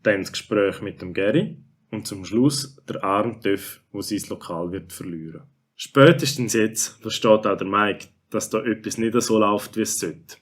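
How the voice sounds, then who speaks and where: A children's story narrator with a fairly low voice and a Swiss accent, performed by a man. He recaps the tale as a friendly storyteller. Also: unhurried. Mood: storytelling.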